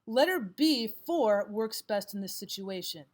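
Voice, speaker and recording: A woman narrating a scripted lesson as a teacher, reading items aloud in a measured, instructional way. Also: mid-range voice, lively intonation, slight background noise. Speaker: English grammar teacher.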